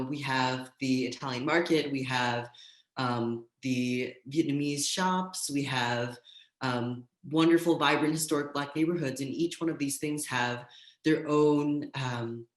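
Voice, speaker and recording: A woman's voice: low voice, reverberant. A woman facilitating a live community event, speaking enthusiastically with many ums. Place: street corner outdoors.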